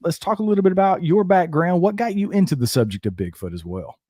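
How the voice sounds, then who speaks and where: A man's voice: high voice, lively intonation, quick pace, dark tone, no echo, studio quality. A man hosting a live podcast, introducing his guests and inviting them to talk. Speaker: host.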